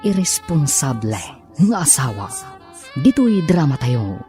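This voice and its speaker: A man's voice: a little high, sing-song, a little slow. A man announcing a radio drama in a dramatic scripted style, over music. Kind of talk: dramatic scripted programme intro.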